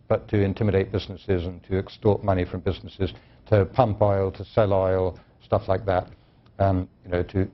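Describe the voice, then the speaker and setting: A man answering as a studio guest on a television call-in program, measured, with frequent restarts. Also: low-pitched, muffled recording. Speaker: studio guest, terrorism analyst.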